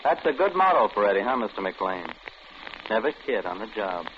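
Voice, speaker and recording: A man's voice: on the low side, sing-song, a little fast. An actor playing a breezy, glib man who needles others with false good cheer, in 1950s radio drama style. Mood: pointed teasing. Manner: glib, pointed, teasing. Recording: muffled, noisy old radio recording.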